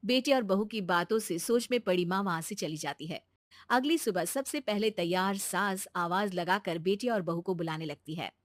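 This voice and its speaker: A woman storyteller reading scripted narration for an animated moral story. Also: medium pitch, moderate pace, no room sound, clean studio sound.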